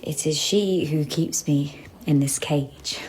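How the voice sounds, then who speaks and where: An actress playing a poised, guarded young London woman in a period radio drama, with an English accent and a measured, cool delivery. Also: low voice, darkish tone, slight background noise, rough recording. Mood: cool, resigned.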